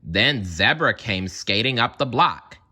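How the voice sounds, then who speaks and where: A man narrates a children's storybook in an expressive, theatrical way. His voice is strikingly bright and animated, with wide intonation and an unhurried pace. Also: fairly low pitch, slightly rough. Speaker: storybook reader. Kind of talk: scripted children's read-aloud narration.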